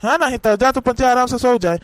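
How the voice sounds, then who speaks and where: Man voicing a very high-pitched grumbling old village father-in-law. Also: a little fast, no room sound. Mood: resigned, grumbling. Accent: Awadhi. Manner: nagging, sarcastic. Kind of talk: amateur cartoon voice acting.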